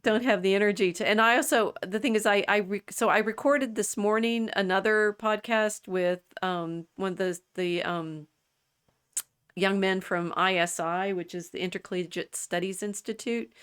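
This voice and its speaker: A woman's voice: mid-range voice, fairly even intonation, normal speed, fairly bright tone, smooth. Rambling woman in her sixties. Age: 60s. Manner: rambling, restarts often, laughs easily. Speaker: podcast host. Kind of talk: casual chat.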